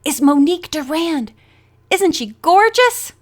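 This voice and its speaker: Woman playing eager storybook teen girl, high and bright-eyed, excitable, gushing delivery. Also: unhurried. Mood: excited. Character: bubbly, starstruck teenage girl, eager and excitable.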